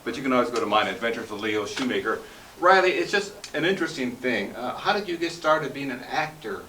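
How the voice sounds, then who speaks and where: A man's voice: mid-range voice, lively intonation, fairly quick, bright, forceful tone. A man hosting a casual interview, listening attentively and putting in short reactions and simple questions. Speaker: interviewer, host. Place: radio station studio. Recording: roomy, distant mic, faint noise.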